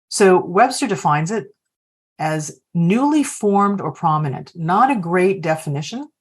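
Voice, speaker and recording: A woman coaching leaders on a video call, reflectively posing open questions to the group. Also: fairly low pitch. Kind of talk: workshop facilitation.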